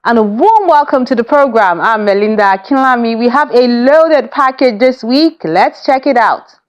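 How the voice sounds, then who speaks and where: Female arts-show presenter, Nigerian accent, scripted delivery, raised pitch, music bed. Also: animated, normal speed, highs cut off. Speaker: programme host. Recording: music behind the voice.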